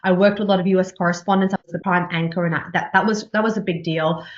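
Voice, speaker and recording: A woman's voice: on the low side, fairly even intonation. Chatty Australian woman in an echoey room. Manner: chatty, quick, many fillers and restarts, laughs easily. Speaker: journalist and news anchor being interviewed. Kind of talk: conversational interview. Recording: echoey room, slightly dull sound.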